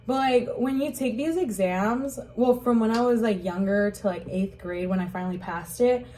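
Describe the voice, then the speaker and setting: A woman's voice: on the high side, normal speed, warm tone, slight background noise. A young woman telling her life story in an interview, hesitant and full of "like" and "um". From Rockford, Illinois, USA. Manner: hesitant, many fillers like "like" and "um". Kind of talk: casual spoken recollection.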